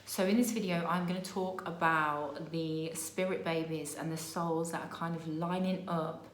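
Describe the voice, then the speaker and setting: Hoarse woman musing in an echoey room. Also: low voice, steady tone, normal speed. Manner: meandering, many fillers and restarts, "yeah?" tags. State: losing her voice. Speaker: spiritual channeler. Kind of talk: casual spiritual vlog talk.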